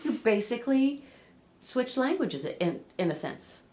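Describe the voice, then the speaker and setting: A woman asking conversational interview questions, measured and pausing between phrases. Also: a little high, big pitch swings, dark tone. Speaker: oral history interviewer.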